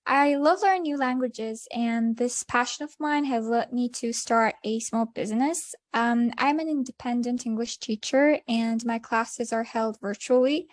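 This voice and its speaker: A young woman from Iran with an Azerbaijani accent, an English teacher giving a short talk and answering questions, pausing often with fillers. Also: on the high side, no room sound, clean studio sound. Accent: Iranian Azerbaijani. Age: young adult. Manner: hesitant, many fillers. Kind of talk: presentation then Q&A.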